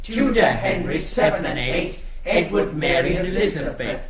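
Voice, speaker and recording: A man's voice: sits high, lively intonation, moderate pace. An actor playing a male in a schoolroom, reciting a rhyme by rote in a rhythmic chant, recorded far off in an echoey hall. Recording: echoey hall, distant, crowd of voices.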